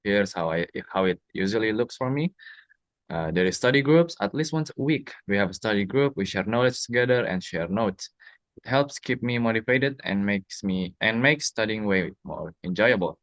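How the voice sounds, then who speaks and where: Young male student, Indonesian accent, lowish pitch, dry close sound, prepared answers. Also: expressive, normal speed, fairly bright tone, dry recording. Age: young adult. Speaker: university student. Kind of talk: prepared question-and-answer speaking exercise.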